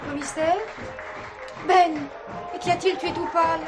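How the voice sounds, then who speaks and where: A woman's voice: very high voice, fairly even intonation, moderate pace, music in the background, crowd noise. An actress playing a sheriff's wife in a 1970s French radio crime play, dramatic and protesting her innocence. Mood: anxious.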